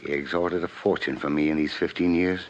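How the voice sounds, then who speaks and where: Casting: guilt-ridden upper-class older gentleman, very low bass, formal and stiff; vintage radio drama, muffled sound. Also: fairly even intonation. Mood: resigned. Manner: formal, measured diction. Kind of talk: 1950s radio drama acting.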